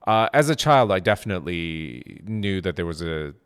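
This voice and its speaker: Middle-aged guy from japan, deep smooth voice, lots of likes and restarts. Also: sing-song, normal speed. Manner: rambling, many fillers and restarts. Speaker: podcast guest, game studio co-founder. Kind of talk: casual interview conversation.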